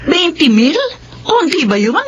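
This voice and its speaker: A betrayed Filipino wife and mother in middle age. Her radio-drama delivery moves through a very wide pitch range, and the recording is a little dull. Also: very animated, a little slow. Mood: suspicious, stern.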